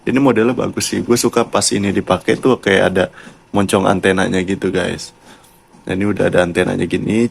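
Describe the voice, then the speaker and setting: A man's voice: on the low side, gravelly, breathy edge. A man with a colloquial Jakarta Indonesian accent, giving a casual, chatty product review and addressing viewers like mates. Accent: Jakarta-style colloquial Indonesian. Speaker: YouTube motovlogger and product reviewer.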